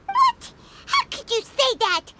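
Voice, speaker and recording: Tiny chirpy game sidekick girl, squeaky and very high, bouncing all over, loud music behind. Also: huge pitch swings, slow, heavy background noise, heavily acted. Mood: excited, curious. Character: tiny floating girl companion, chirpy and excitable.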